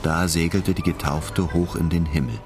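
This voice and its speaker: A man's voice: sits low, steady tone, normal speed, close to an everyday voice. A man delivering scripted literary narration as the narrator of a radio drama. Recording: studio voice over music.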